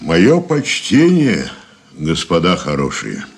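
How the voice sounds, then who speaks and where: An actor playing a wary, sly, folksy male robber under questioning in a radio drama, with a husky, gravelly voice. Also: low voice, huge pitch swings, exaggerated voice. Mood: wary politeness. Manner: unhurried, sly, proverb-laden folksy speech.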